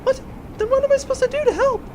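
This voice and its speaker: A man's voice: very high-pitched, steady tone, quick pace, darkish tone, slight background noise, pitched above the performer's own voice. A man voicing an earnest, sentimental young woman space pilot in an audio comedy adventure. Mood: indignant.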